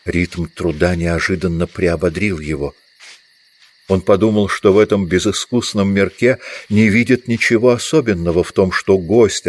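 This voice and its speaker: Low-voiced male audiobook narrator. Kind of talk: scripted literary narration.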